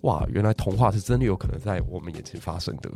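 A man's voice: low voice, quick pace, creaky. A man with a Taiwanese Mandarin accent, a podcast guest telling a history story and asking listeners rhetorical questions. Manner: animated, asks the audience rhetorical questions.

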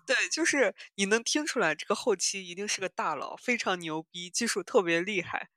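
A woman's voice: mid-range voice, lively intonation, moderate pace, fairly bright tone, no echo. A woman co-hosting a podcast, talking informally and correcting herself as she explains. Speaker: podcast co-host. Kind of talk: casual chat about audio dramas.

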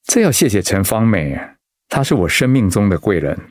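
A gentle, low-voiced idealist pastor. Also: male voice, very animated, moderate pace, no echo, clean studio sound. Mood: calm, earnest. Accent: Taiwanese. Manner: earnest, reflective. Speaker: voice actor in a radio drama. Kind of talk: Mandarin radio drama acting.

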